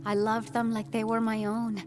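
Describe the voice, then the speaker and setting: A woman's voice: a little high, monotone, normal speed, natural voice. A woman playing a selfless, plain-spoken former midwife telling her story in video game dialogue. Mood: confessional. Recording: game music behind the voice. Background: music.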